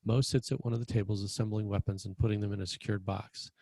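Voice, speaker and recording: A man's voice: fairly low pitch, level tone, quick pace, creaky, mellow, no echo. A man narrating screenplay stage directions in a scripted read.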